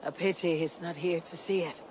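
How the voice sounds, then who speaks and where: An actor playing a resolute, commanding Viking warrior leader in a video game, speaking in terse, solemn statements. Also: male voice, on the high side, fairly even intonation, fairly quick, lightly acted. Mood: grim resolve. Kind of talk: video game cutscene acting. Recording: outdoor ambience noise with horse hooves.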